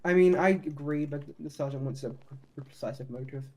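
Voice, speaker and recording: A man improvising procedural talk as a police detective in online roleplay, halting and informally official. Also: a little high, darkish tone.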